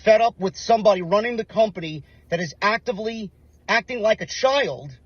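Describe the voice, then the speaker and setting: A man's voice: high-pitched, animated, fairly quick, husky, no echo, lo-fi sound. A man with a sore voice, ranting indignantly on a live stream, lecturing with rhetorical questions. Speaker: online commentator.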